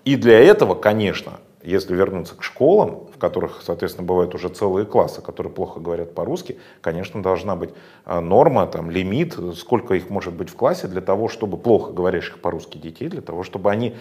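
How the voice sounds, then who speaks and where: A man speaking in a fairly low voice, answering at length in a political interview. He lectures emphatically, stressing points and filling pauses with hesitation sounds. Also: lively intonation, dark tone. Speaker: interview guest.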